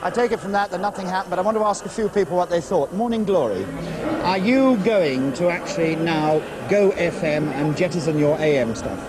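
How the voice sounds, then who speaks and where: High-voiced British man reporting amid a crowd. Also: a little fast. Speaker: outside broadcast reporter. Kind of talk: live interviewing of a group. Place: live at a club in London. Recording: busy crowd noise behind.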